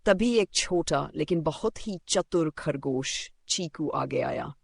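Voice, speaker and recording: Male narrator for kids' moral tales: higher-pitched, strong vocal fry, scripted storytelling delivery. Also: expressive, takes it slowly, dry recording.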